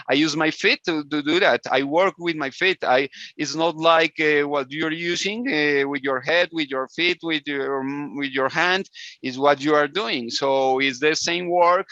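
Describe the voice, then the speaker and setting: A man from Colombia speaking English with a Spanish accent, a guest inventor on a video call interview, halting with many restarts and frequent laughs. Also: on the high side, pushed and bright, no echo. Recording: dull lo-fi call audio.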